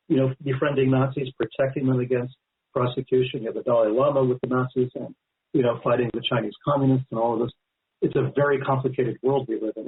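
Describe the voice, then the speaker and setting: A man speaking as a guest author in a radio interview, explaining at length in a conversational, emphatic and digressive way. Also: mid-range voice. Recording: narrow-band phone-like line.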